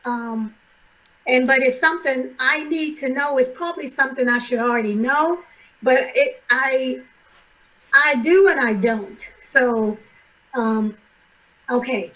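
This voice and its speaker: A hoarse middle-aged woman on an online study call, asking a question hesitantly and restarting her sentences as she works out what she wants to ask. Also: high voice, measured pace, pauses often, a bit bright, rough recording.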